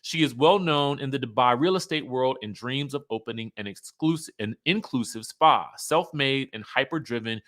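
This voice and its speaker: A man's voice: mid-range voice, moderate pace, hardly any pauses, a bit bright. A man hosting a reality TV commentary show, speaking in a conversational, emphatic, opinionated way, sometimes reading out cast bios.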